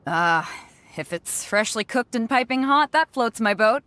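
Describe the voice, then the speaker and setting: A woman's voice: a little high, expressive, a little slow, a bit bright, no room sound. A woman voicing a bold, laid-back sea captain in a video game, with a hearty, teasing manner. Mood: breezy.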